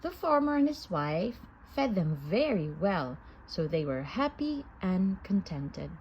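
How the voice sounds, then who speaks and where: A woman reading a children's story aloud in an expressive, sing-song manner. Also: mid-range voice, slow pace, lo-fi sound, slight background noise. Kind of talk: children's read-aloud narration.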